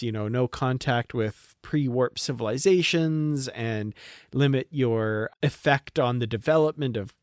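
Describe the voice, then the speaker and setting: A man's voice: medium pitch, no echo. Man co-hosting, very dry close sound. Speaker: podcast co-host. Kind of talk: casual discussion of a TV show.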